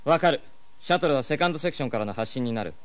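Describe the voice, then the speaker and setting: An actor playing a cool, wry young detective with a crisp, dry, know-it-all manner in an audio drama. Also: male voice, mid-range voice, animated, racing, dry recording, lightly acted. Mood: briskly explaining. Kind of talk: Japanese audio drama acting. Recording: noisy old cassette drama.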